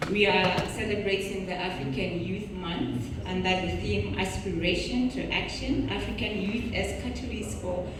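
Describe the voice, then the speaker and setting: A woman's voice: medium pitch, steady tone, moderate pace, few pauses. Young woman, Botswana origin, formal moderator, heavy hall echo, noisy. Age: young adult. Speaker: panel moderator. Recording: very echoey hall, distant mic, noisy.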